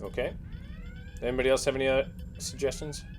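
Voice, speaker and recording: A man's voice: mid-range voice, fast talker. A man playing a tabletop game, casually working out a plan with the other players. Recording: clear background noise.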